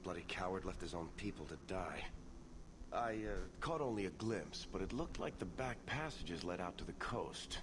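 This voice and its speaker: An actor playing a proud young knight soldier in a video game, speaking in a formal, clipped way. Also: male voice, low voice, animated, normal speed, background noise. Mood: brisk.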